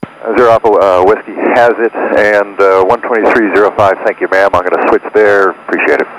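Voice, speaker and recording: A man speaking as a pilot over aviation radio, in brief, halting calls to air traffic control. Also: on the low side, fairly even intonation. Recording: noisy aircraft radio transmission. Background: engine noise and radio static.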